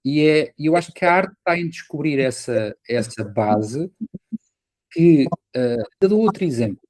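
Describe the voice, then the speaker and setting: A man's voice: fairly high pitch, lively intonation, normal speed, a little mellow, no echo. A man with a European Portuguese accent talking casually as a podcast guest, explaining things with everyday examples and frequent tag questions.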